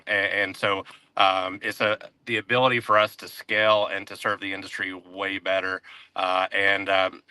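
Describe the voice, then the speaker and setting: A man's voice: on the low side, bright, forceful tone, clear, resonant tone, dry recording, rough recording. A man speaking casually as a guest, a sales coach telling stories in a conversational way.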